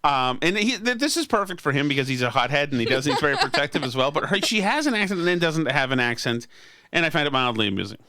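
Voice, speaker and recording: Bright, crisp American man, sardonic. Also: on the high side, very animated, fast, no room sound, studio quality. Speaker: podcast host. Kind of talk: casual political chat.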